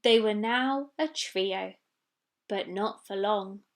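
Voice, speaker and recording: A woman's voice: mid-range voice, takes it slowly. A woman reading a children's storybook expressively, with dramatic delivery of sound words. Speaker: story reader.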